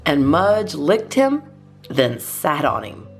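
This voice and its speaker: A woman reading a children's storybook aloud as a storytime host, expressively and in measured phrases with deliberate pauses. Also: low-pitched, sing-song, soft background music.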